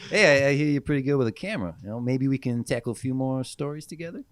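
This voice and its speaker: A man's voice: mid-range voice, lively intonation, quick pace, no echo, natural voice. A man playing a friendly, bantering newspaper reporter at a script table read. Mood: easygoing.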